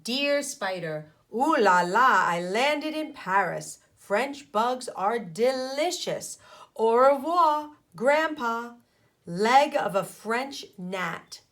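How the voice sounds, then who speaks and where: Slow, hearty old grandpa spider acted by a woman. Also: fairly high pitch, lively intonation, fairly bright tone, full character voice. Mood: jolly. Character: jolly old male spider grandpa, travelling adventurer.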